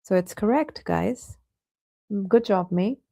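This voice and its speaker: Patient female teacher for an online class, gravelly voice, dry close sound. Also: medium pitch, slow pace, warm tone, dry recording. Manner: patient, addresses students by name.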